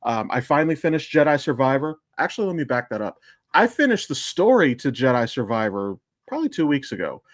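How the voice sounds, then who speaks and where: A middle-aged man chats casually as a podcast host. His voice sits at a medium pitch and is recorded dry, with little room sound. He rambles through asides and swears freely. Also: big pitch swings, a little fast, dry recording. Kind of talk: casual chat.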